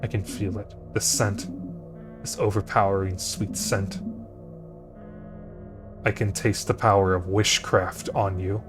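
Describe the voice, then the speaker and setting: A cruel king speaks low and slow, every threat hushed and gloating, as a man voices him for a game. The voice sits deep. Music plays clearly behind. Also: fairly even intonation. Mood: menacing. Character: menacing male king villain, cruel and gloating.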